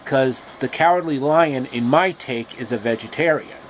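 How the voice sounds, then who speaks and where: A man telling a story casually and off the cuff, in a halting way with pauses and repeats. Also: mid-range voice, a little hiss or hum.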